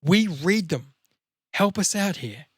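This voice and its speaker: A man's voice: high-pitched, lively intonation, slow pace, slightly rough, dry recording, studio quality. An Australian man hosting a podcast in casual, crude banter, blokey and swearing freely. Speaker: podcast host.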